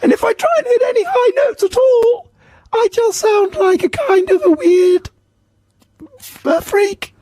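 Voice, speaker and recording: A man co-hosting a radio show, hoarse from a cold, his voice cracking, chatting in a jokey, easygoing way. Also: very high-pitched, measured pace, mellow, no room sound, low-quality recording. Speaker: radio co-host. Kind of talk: casual radio banter. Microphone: studio microphone.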